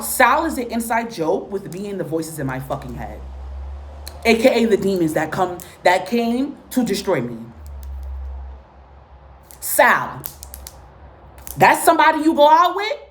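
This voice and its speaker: A woman from Guatemala and Honduras, Spanish her first language, giving a casual spoken reading with blunt, profane, repetitive commentary. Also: high-pitched. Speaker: tarot and spiritual reader.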